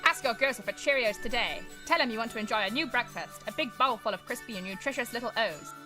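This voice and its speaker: A woman's voice: on the high side, animated, quick pace, close to an everyday voice, low-quality recording. A woman playing an earnest old-time western radio announcer, reading scripted narration in a declamatory way. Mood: upbeat, selling. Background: music.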